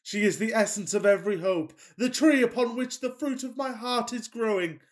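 A man playing a fussy, passionate Victorian gentleman in a farcical radio play, with pompous, declamatory delivery. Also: high voice, normal speed, close to an everyday voice. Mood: rapturous. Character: melodramatic, fussy Victorian gentleman suitor, self-pitying and passionate.

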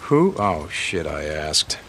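A man's voice: a bass voice, sing-song, takes it slowly, fairly bright tone, slight background noise, heavily acted. An American man playing an easygoing, teasing outlaw biker in his thirties in a video game, informal and profane. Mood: curious, casual. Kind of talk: naturalistic video game acting.

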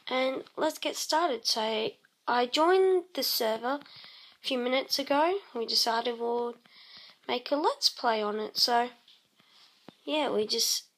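A woman casually narrating her game session, croaky and clearing her throat, rambling with many pauses and humming to herself. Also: on the high side. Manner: slow, rambling, many ums, hums tunes while playing. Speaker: let's play host. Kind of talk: casual gameplay commentary.

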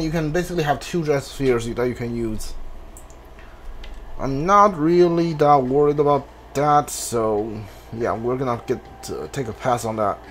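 A man giving casual video game commentary, thinking aloud haltingly and repeating his words as he plays. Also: medium pitch, a little hiss or hum. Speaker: gamer, playthrough host. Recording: game music behind the voice. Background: video game music.

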